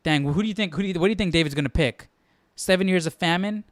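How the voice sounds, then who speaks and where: A man hosts a casual Bible livestream, wondering aloud and posing questions. His voice is a touch high, smooth and clean, recorded very dry. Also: fast talker, no echo. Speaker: host of a live Bible study.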